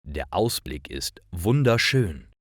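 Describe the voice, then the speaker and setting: A man reading simple stories as a narrator for language learners, in a deliberate and expressive storytelling style. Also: deep voice, no echo, studio quality. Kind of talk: scripted storytelling narration, read slowly for learners.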